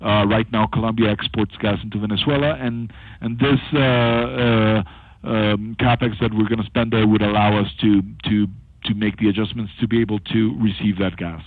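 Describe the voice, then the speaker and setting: A man presenting as a company executive on a conference call, speaking haltingly with frequent long filled pauses over a telephone. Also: on the low side, fairly even intonation, no echo. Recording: thin, narrow-band telephone conference line.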